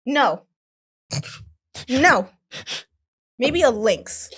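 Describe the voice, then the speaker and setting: A woman's voice: fairly high pitch, very animated, extremely slow, a bit bright, husky. Teasing young woman co-host. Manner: teasing, giggly. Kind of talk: casual chat.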